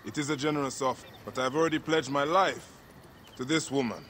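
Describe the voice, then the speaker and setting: A man's voice: medium pitch, a little fast, a bit bright, slight background noise, natural voice. An actor playing a proud, vengeful warrior and grieving father in a video game, speaking bluntly and with intensity. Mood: firm, polite. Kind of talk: dramatic video game cutscene acting. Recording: music behind the voice.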